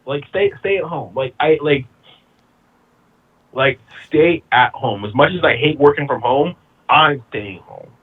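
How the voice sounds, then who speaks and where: Husky, blunt man in his thirties. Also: mid-range voice, animated, a little slow, narrow, tinny audio. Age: 30s. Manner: emphatic, blunt, repeats himself while building a point. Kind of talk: casual chat.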